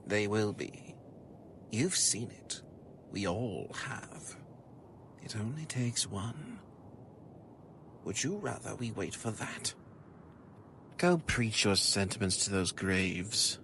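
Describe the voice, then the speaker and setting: Slow, gravelly, coldly callous commander, played by a man. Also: fairly low pitch, noisy background. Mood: bitter contempt. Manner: flat, emotionless, contemptuous. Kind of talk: gothic audio drama acting.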